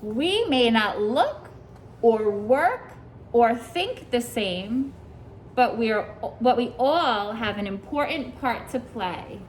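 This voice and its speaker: A woman teacher reads a picture book aloud to young children, pausing to ask them questions and praise their answers. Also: a little high, lively intonation, a little hiss or hum. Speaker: preschool teacher.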